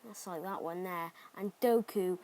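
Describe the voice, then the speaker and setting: A young man from Cardiff with a Cardiff Welsh accent, chatting excitably through gameplay commentary with rambling asides. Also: high voice, measured pace, slight background noise. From Cardiff, Wales. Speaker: gaming YouTuber.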